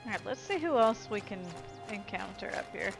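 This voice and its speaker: A woman with a mid-pitched voice hosts a let's play. Her commentary is casual, informal and easygoing, with clear game music underneath. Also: animated, a little fast. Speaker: gamer, let's play host.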